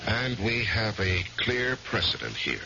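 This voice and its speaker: An actor playing a troubled married lawyer in a 1970s radio drama, speaking in a hesitant, halting way. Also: male voice, lowish voice, big pitch swings, creaky, over loud music, heavily acted. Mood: measured, businesslike. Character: troubled married male lawyer narrating his guilty downfall. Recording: noisy, muffled 1970s radio recording.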